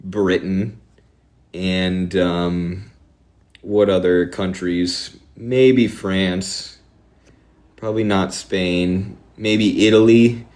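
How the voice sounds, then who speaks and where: Low-voiced Midwestern streamer. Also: male voice, steady tone, darkish tone. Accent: Midwestern American. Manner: rambling, fast-shifting, sardonic. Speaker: political streamer. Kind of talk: casual live-stream political talk.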